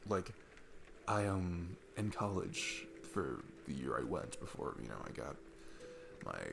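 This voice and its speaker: Low, gravelly, rambling young dad played by a man. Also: full character voice. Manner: rambling, halting, self-deprecating, breaks into chuckles. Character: awkward, chatty, self-deprecating young single dad on a date. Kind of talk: ASMR boyfriend-style roleplay, casual improvised-sounding chat. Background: background music.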